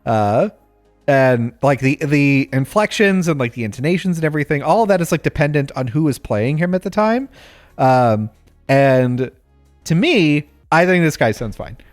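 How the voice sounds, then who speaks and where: A man's voice: on the high side, animated, moderate pace, no room sound, soft background music. A man co-hosting a podcast in a laid-back, joking way. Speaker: podcast co-host. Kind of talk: casual chat.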